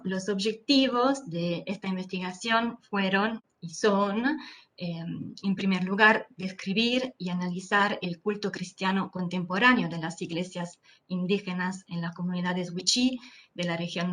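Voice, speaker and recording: A woman with an Italian accent, Italian her first language, giving an academic lecture over a video call in a careful, measured way with frequent hesitant fillers. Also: lowish voice, fairly bright tone. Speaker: anthropology lecturer.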